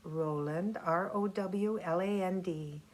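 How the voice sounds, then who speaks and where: A woman reading aloud as a storyteller, in measured, deliberate phrases. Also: on the low side. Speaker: storyteller, festival committee member. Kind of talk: read-aloud storytelling and scripted narration.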